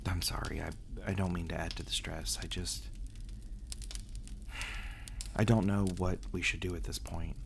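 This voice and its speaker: A man's voice: low-pitched, little pitch movement, a little fast, creaky, a little hiss or hum. A man playing a brooding, bitter vampire in an ASMR audio roleplay. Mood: weary, worried. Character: brooding, bitter male vampire, resentful of his absent guardians.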